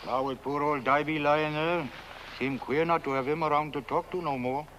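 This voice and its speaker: An actor playing a plain-spoken rustic gravedigger in a comic film, folksy and matter-of-fact. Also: male voice, a little high, steady tone, normal speed, fairly bright tone, lightly acted. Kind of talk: 1970s comic film acting. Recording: muffled old film soundtrack, faint noise.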